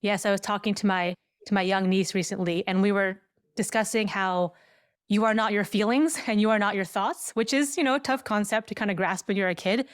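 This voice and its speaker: A woman's voice: medium pitch, steady tone, brisk, clean tone, no room sound. Casual woman host, dry close sound. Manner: anecdotal, informal, acts out quoted remarks. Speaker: podcast host. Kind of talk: casual interview chat.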